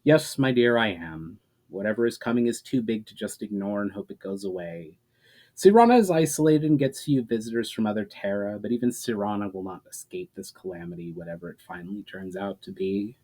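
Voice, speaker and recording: A man's voice: lowish voice, animated, fairly quick, darkish tone. A man voicing an earnest, troubled mage in a storybook reading, grave and explanatory in manner. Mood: resolved, gentle. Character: troubled, earnest male mage haunted by visions.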